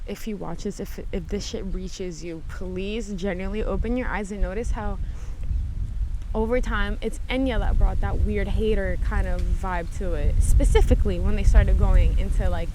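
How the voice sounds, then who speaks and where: A woman hosting a podcast alone, giving casual, candid commentary in a conversational way and swearing freely. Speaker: podcast host. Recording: noisy background, vehicle-like ambient rumble.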